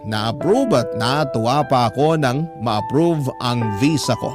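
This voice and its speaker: An earnest young storyteller played by a man with a medium-pitched voice, narrating in first person. The delivery is heartfelt and measured, with loud music underneath. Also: animated, natural voice. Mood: heartfelt, hopeful. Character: sentimental young man recounting his life story.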